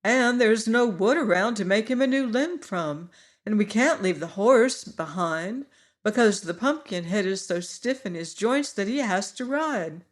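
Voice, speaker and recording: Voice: mid-range voice, expressive, measured pace, pitched above the performer's own voice, lightly acted. A reader voicing an earnest young boy who explains their trouble.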